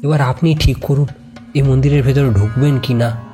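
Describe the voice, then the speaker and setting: Solemn local man played by a male narrator, ominous and emphatic, horror audio drama, mid pitch over soft music. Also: fairly even intonation, moderate pace, lightly acted. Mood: ominous warning. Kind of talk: audio horror story acting.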